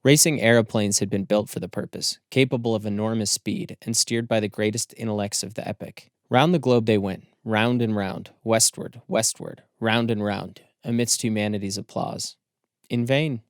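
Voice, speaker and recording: A man narrating a literary story as an audiobook, in a measured, formal storytelling manner. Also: fairly low pitch, fairly even intonation, no echo, studio quality.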